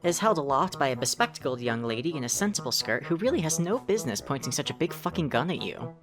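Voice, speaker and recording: A very low-pitched narrator, acted by a woman tells a visual novel story in the second person. The delivery is wry and conversational, wandering into asides, over clearly audible music. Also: sing-song, fast. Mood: wry, descriptive. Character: second-person narrator, wry, rambling, easily sidetracked visitor. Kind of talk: scripted narration of a fan-dubbed visual novel.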